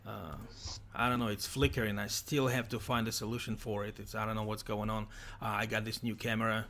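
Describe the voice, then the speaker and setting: Male livestream host from Ukraine, low gravelly voice, halting casual delivery. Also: little pitch movement, fairly bright tone. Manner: halting, many restarts. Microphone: computer microphone, livestream.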